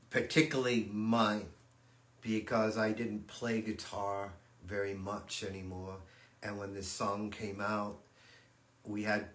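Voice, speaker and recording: An older man, a guitarist on a fan livestream, telling stories about his past in a relaxed way that often trails off. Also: on the low side. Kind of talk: casual reminiscing chat. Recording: echoey room.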